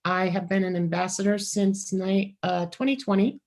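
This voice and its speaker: A woman educator from North Carolina introducing herself on a video-call panel, upbeat and excited. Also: mid-range voice, moderate pace. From North Carolina, USA. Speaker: educator and ambassador.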